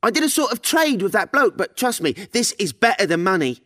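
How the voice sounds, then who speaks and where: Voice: medium pitch, big pitch swings, fairly quick, a bit bright. An actor playing a naive, earnest young son who protests hesitantly in a comedy sketch. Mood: eager, sheepish.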